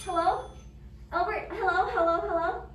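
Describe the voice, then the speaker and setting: Woman acting high-voiced, fretful invalid wife in echoey room. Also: normal speed. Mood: frantic, exasperated. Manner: agitated, stammering, insistent. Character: anxious, demanding bedridden invalid wife, fretful and self-absorbed. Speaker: amateur drama club actor. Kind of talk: stage adaptation of a radio suspense play. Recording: roomy echo, distant mic, background noise.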